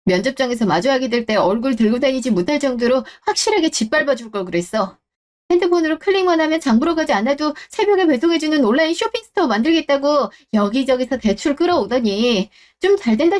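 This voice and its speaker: High-voiced woman reading a radio drama as the wife, cold and cutting as she scolds. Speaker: wife and storyteller.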